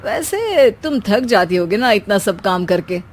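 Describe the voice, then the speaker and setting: A woman's voice: mid-range voice, very animated, a little slow. A woman voicing a bossy young husband in a cartoon who keeps making joking remarks to his wife. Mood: teasing. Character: bossy teasing young husband, office worker. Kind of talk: cartoon voice acting.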